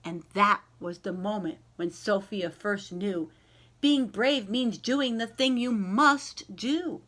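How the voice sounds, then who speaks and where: A woman reading a children's storybook aloud and chatting to young listeners, expressive and encouraging, with stretched key words and dramatic pauses. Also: mid-range voice. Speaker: library read-aloud host.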